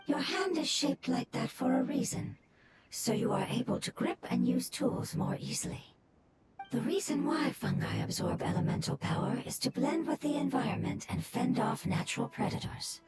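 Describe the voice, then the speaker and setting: A serene, wise old spirit of the forest from a video game. The voice is fairly high, soft and airy, with melodic movement in its formal, gentle explanations. Loud music runs underneath. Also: female voice, big pitch swings, normal speed. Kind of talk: video game character acting.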